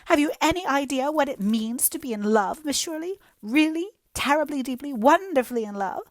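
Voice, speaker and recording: A woman's voice: high voice, expressive, fairly quick, no echo, clean studio sound, pitched above the performer's own voice. A woman doing a tearful, melodramatic young woman. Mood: wailing. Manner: gushing, theatrical, breathless overstatement.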